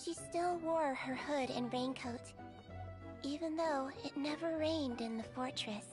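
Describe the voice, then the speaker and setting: A woman voicing a small, gentle, youthful nonhuman girl doctor in a video game, with an earnest, measured manner. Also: high-pitched, over music. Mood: solemn storytelling.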